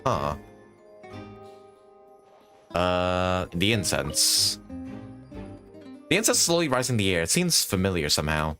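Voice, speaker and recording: A man's voice: deep voice, sing-song, slow, pauses often. A man streaming a game, thinking aloud in casual commentary and reading on-screen text. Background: game music.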